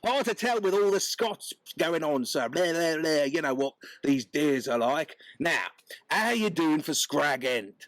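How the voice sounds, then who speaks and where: A man voicing a loud, bossy London butcher's wife, brash and scolding. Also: fairly high pitch, expressive, a little slow, no room sound, voice pushed up. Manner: brash, scolding, lays down the law.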